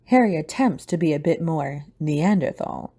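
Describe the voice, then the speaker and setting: A woman's voice: sits low, lively intonation, normal speed, warm tone, breathy edge. A woman narrating a scripted audio drama.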